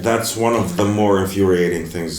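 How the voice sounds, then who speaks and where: Deep guy, earnest, talks quick in an echoey room. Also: a crowd in the background. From Ukraine. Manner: earnest, animated. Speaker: guest. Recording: roomy echo.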